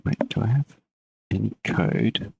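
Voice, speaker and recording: Low gravelly man coding live. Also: unhurried, dry recording. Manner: relaxed, mutters while working, chats with viewers between code. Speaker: game developer streaming live. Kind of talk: live coding stream, thinking aloud.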